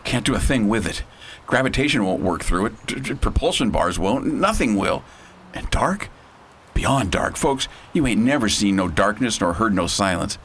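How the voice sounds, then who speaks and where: A man playing a daring inventor hero in a space opera radio play. He talks in folksy, breezy phrases with a lively, lowish voice. There is clear noise on the recording. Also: normal speed. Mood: shaken, awed. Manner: breezy, colloquial. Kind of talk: radio drama acting.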